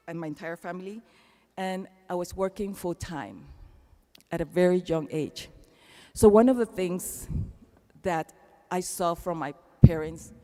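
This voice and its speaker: A woman's voice: fairly low pitch, darkish tone. Panamanian-accented woman speaking in an echoing arena. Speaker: commencement speaker. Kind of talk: personal commencement speech. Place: large indoor arena, amplified speech. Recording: long echo of a large arena.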